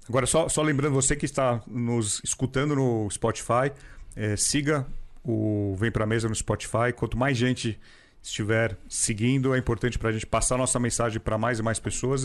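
A man hosting a podcast, briskly prompting his guest with questions and plugging the show. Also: mid-range voice. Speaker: podcast host. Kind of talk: interviewing, casual chat.

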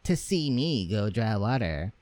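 A man's voice: fairly low pitch, very animated, measured pace, darkish tone, no room sound. A man voicing a proud, rude young woman in a fairy tale, speaking with a haughty sneer.

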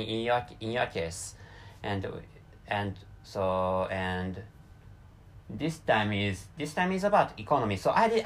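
A man with a Japanese accent hosts a solo talk in English, his second language. He talks in a halting way, full of restarts and repeated words. His pitch swings up and down a lot, and his voice sits in a middle range. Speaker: podcast host.

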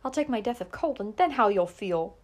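A woman's voice: mid-range voice, animated, fairly quick, natural voice. A woman voicing a determined, persistent young woman who talks in an earnest, coaxing way.

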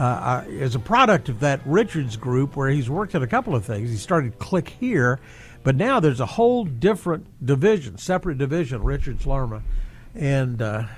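A man hosting a radio interview, chatting loosely with ums and restarts. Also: mid-range voice.

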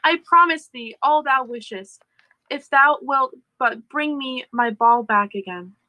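A woman's voice: high-pitched, a little slow, no room sound, muffled recording. A woman voicing a spoiled, pleading young princess in a fairy tale read aloud, with theatrical old-fashioned delivery. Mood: eager, pleading.

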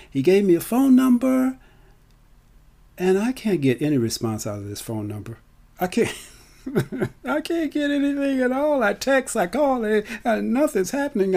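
A man's voice: very high-pitched, vocal fry, mellow. A middle-aged man talking casually to an audience as a storyteller, with a theatrical, emphatic delivery. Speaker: storyteller and filmmaker. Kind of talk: casual spoken monologue to an audience.

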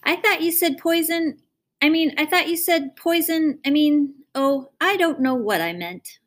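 A woman reading aloud, voicing a small, easily upset boy in a high, pleading tone. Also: a little slow. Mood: whiny.

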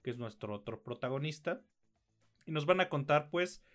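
A man's voice: on the high side, lively intonation, normal speed, a bit bright, soft background music. A man with a Mexican accent reviewing films as a podcast co-host, informal and slangy, thinking aloud as he goes. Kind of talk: conversational film review.

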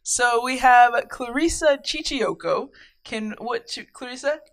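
A woman's voice: on the high side, a little slow, no echo. An upbeat young woman co-hosts a casual faith podcast. Her voice sits close and dry, with no room around it. She talks in a chatty, easygoing way and sometimes trips over a name. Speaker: podcast co-host. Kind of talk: casual chat and spoken prayer.

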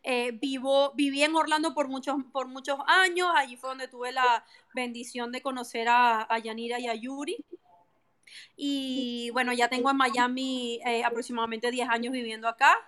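A woman's voice: high-pitched, steady tone, normal speed, fairly bright tone. A woman, a real estate agent, chatting as a guest on a live stream in a friendly way, with many fillers. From Venezuela. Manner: friendly, many fillers and restarts, laughs easily. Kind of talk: casual interview chat.

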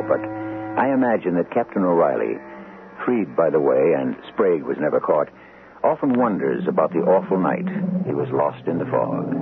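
A man with a very deep, gravelly voice hosts a radio mystery. His scripted narration is measured and ominous yet expressive in pitch, on a lo-fi vintage broadcast recording. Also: background noise. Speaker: host and narrator of mystery series. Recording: lo-fi old radio recording, music behind.

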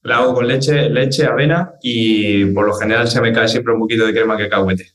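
A man in his twenties, born in Argentina, answering interview questions as a fitness trainer in a relaxed, rambling way. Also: mid-range voice, brisk. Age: 20s. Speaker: guest, trainer. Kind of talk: casual interview talk.

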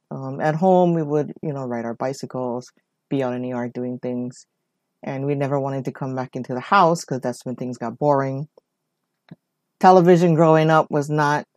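A woman's voice: deep voice, mellow, no room sound. Chatty woman in her forties. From Hawaii. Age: 40s. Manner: rambling, conversational, leans on "you know" and "whatnot". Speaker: podcast host. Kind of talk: personal storytelling monologue.

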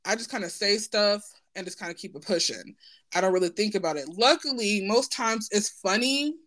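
A woman's voice: medium pitch, fairly quick, a bit bright, no echo. A woman speaking informally and candidly in a personal conversation, often checking in for agreement as she goes.

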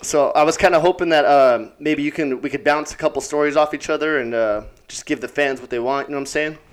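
A man's voice: fairly high pitch, fast talker, clear, resonant tone. A man hosting a casual, laid-back chat with an old army buddy. He laughs often and swears easily.

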